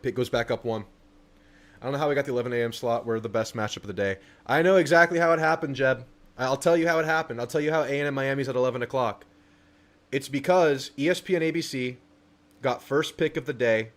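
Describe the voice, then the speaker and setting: A young man hosting a casual live stream, reading viewer messages aloud and answering them. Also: medium pitch. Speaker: livestream host.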